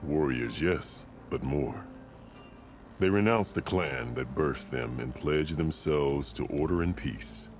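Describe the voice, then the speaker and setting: A battle-scarred warrior chief with an exceptionally deep voice. He speaks formally and solemnly, like a ruler who values order, in dramatic video game dialogue. Music plays clearly behind him. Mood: authoritative. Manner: formal, solemn, measured storytelling.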